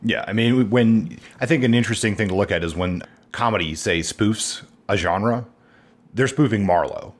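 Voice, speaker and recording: A man with a low, deep voice co-hosts a casual book podcast. He talks in a relaxed, hesitant way with plenty of fillers and keeps the conversation moving. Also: normal speed. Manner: hesitant, many fillers, steers the discussion. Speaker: podcast co-host. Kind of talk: casual literary discussion.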